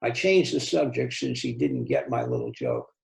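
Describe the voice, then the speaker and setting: A man's voice: mid-range voice, wildly swooping pitch, moderate pace, creaky, roomy sound. A man reading a novel aloud as a volunteer story reader, in scripted first-person narration.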